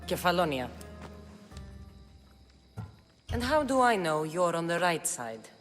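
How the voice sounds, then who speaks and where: A woman's voice: fairly low pitch, big pitch swings, moderate pace, soft background music. An actress playing a tough, wry Greek mercenary woman in a video game, speaking in a dry, guarded, blunt way.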